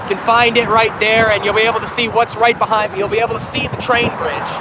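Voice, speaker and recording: A man's voice: high voice, fairly even intonation, fast. A man recovering from a cold, livestreaming by phone from a bridge, chatting to viewers and often restarting and correcting himself. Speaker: railfan livestreamer. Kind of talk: casual livestream chat. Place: outdoors on a bridge by a busy highway. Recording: loud traffic and wind noise outdoors. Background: heavy traffic and wind.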